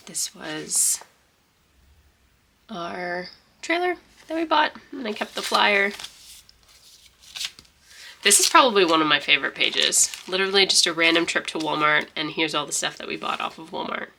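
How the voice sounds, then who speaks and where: A woman's voice: fairly low pitch, expressive, unhurried, gravelly, pauses often, sharp tone, a little hiss or hum. A young woman with a stuffy, congested voice, chatting casually and rambling through a journal flip-through. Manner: rambling, chatty, many fillers and restarts. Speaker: journaling vlogger.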